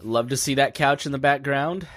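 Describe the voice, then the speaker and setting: A man hosting a live-stream podcast, hoarse from laryngitis, rambling casually with plenty of fillers. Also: medium pitch, normal speed, fairly bright tone, no echo. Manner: rambling, jokey, many fillers. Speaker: podcast host.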